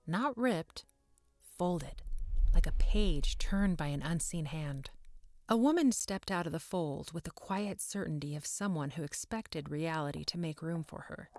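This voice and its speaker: A woman reading scripted fantasy narration in a measured, descriptive way. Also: fairly low pitch, darkish tone, dry recording.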